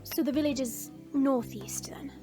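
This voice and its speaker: A woman playing a spirited, bossy young dragon princess in a fantasy audio drama, commanding and expressive. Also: high voice, measured pace, over music. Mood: firm.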